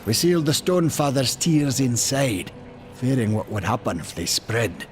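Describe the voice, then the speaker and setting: A man's voice: mid-range voice, very animated, measured pace, a little hiss or hum. A man playing a chatty, wily and cowardly Maker trader in a fantasy game, with a folksy, sly way of talking.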